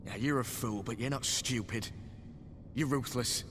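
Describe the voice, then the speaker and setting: A man's voice: mid-range voice, measured pace, a little hiss or hum, close to an everyday voice. An actor playing an earnest, unyielding male comrade in a dramatic video game cutscene. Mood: stern, reproachful. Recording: long hall reverb, loud music behind.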